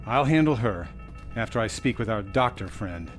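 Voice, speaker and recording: A man's voice: on the low side, expressive, moderate pace, soft background music, natural voice. An actor playing a cool, commanding male agent handler in an audio drama, speaking tersely. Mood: cool, wary. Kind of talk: understated dramatic acting.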